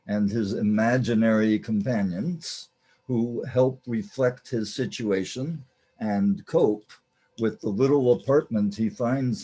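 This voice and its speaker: A man hosting and narrating a radio play introduction in a deliberate, formally theatrical manner. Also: lowish voice, dark tone, breathy edge, rough recording. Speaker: host, narrator.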